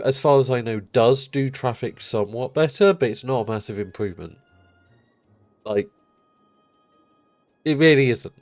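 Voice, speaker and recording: A man's voice: medium pitch, dry recording. A man streaming a city-building game, chatting casually and rambling, his nose blocked up because he just rolled out of bed.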